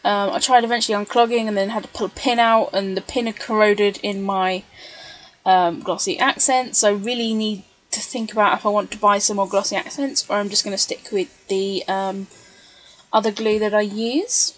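A woman's voice: mid-range voice, steady tone, moderate pace, low-quality recording. A woman giving a casual, chatty, rambling voice-over for a craft video. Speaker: scrapbooker narrating craft video.

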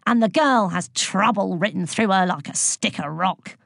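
Woman putting on a pompous, shouty schoolmaster. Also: medium pitch, moderate pace, creaky, no echo, studio quality. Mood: scornful. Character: pompous, bullying male schoolteacher, gloating and shouty.

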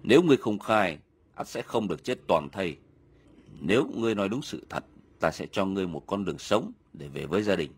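A man voicing a stern medieval army general in an animated historical film, firm and authoritative. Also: low-pitched, fairly quick, slight background noise. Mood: threatening.

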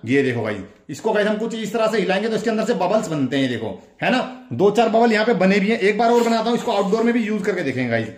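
A man's voice: high-pitched, big pitch swings, quick pace, few pauses. A young man with an Indian accent hosting a casual hands-on review, talking enthusiastically and repetitively straight to viewers. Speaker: toy review video host. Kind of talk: casual hands-on product demonstration.

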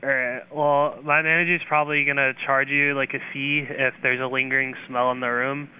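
Easygoing hotel clerk guy, smooth clean voice, polite, on a narrow phone line. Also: medium pitch, level tone, a little fast. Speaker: hotel front desk clerk. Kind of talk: casual phone customer service.

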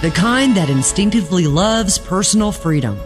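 A woman's voice: fairly low pitch, animated, moderate pace, lightly acted. An actress playing a tough, sardonic young woman in a radio play, with a dry, mocking manner. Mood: smug. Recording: music under radio play dialogue.

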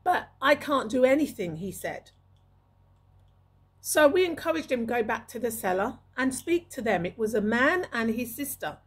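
A woman's voice: a little high, very animated, normal speed, husky. A middle-aged woman talking to camera as a video host, giving emphatic advice and repeating phrases for weight, close on a studio microphone. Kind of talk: advisory talk to camera. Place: small indoor room. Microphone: large studio microphone with pop filter, close.

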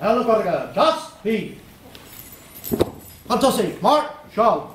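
High, swooping man calling out commands. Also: sing-song, slow, music in the background. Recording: echoey hall, clear background noise.

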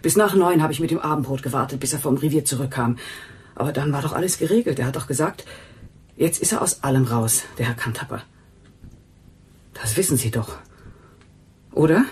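A woman's voice: deep voice, expressive, brisk, long pauses, a little mellow, a little hiss or hum. A woman playing a defensive, agitated housekeeper in a radio crime drama, speaking in insistent, broken sentences. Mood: defensive, uneasy. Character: defensive, agitated housekeeper protective of her brother. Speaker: actress in a crime radio play. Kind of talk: German radio drama acting.

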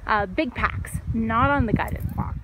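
A woman in her thirties chatting casually in short, relaxed remarks as she films a hiking vlog on a phone. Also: on the high side, unhurried, very noisy. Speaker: hiker vlogger. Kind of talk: casual trail vlog narration to camera. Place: outdoors on mountain trail, sometimes inside hut. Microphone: handheld phone at arm's length.

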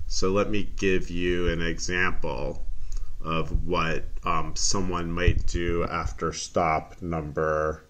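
A man explaining an assignment as a teacher, in a deliberate, careful manner. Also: low-pitched, fairly bright tone, slight background noise, poor audio. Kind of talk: instructional screen explanation for students.

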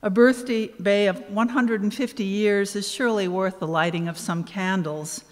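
A woman of around seventy giving a measured, formal welcome speech with dry humor from a podium microphone on stage. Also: medium pitch, fairly even intonation. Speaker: event host, anniversary committee co-chair. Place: on stage in a large hall. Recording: reverberant concert hall.

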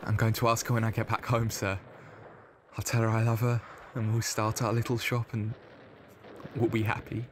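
A man's voice: completely flat delivery, moderate pace, lightly acted. A man playing a frightened, wounded eighteen-year-old private in a First World War audio drama, pleading and deferential. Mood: wistful. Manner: pleading, deferential to his officer. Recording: wartime scene, loud artillery rumble behind. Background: artillery fire and battlefield ambience.

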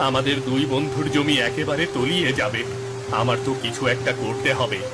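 A man's voice: mid-range voice, fairly even intonation, a little fast, natural voice. A man playing a gloomy village farmer in a cartoon, brooding and lamenting to himself over music. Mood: despairing.

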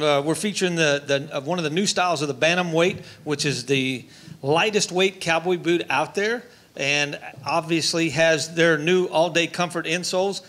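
A middle-aged man giving a brisk product pitch for boots on a studio talk set. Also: fairly bright tone. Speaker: boot retailer. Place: diner-style TV studio set with audience.

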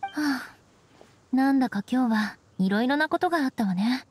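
A woman's voice: high voice, animated, moderate pace, a little mellow, husky. An actress playing a poised, refined, coolly caring young noblewoman in a Japanese anime game, with ladylike phrasing. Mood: weary. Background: background game music.

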